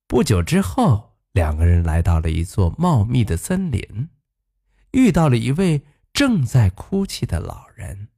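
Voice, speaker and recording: A storyteller narrating a fairy tale for children in an expressive style. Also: mid-range voice, unhurried, breathy edge.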